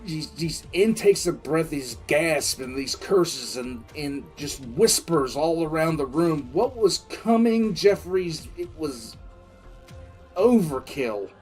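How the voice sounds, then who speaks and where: A man playing a grim, shaken military commissar who recounts events in a halting, reflective way for an audio drama. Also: fairly high pitch, expressive, a little mellow, soft background music. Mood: tense, haunted. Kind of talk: dramatic first-person audio drama narration.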